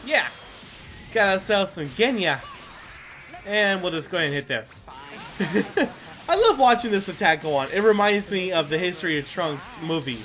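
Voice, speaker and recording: A man's voice: high voice, big pitch swings, normal speed, a bit bright. A man hosting a gameplay video with casual, rambling commentary, full of exclamations and mild swearing. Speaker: gaming video host.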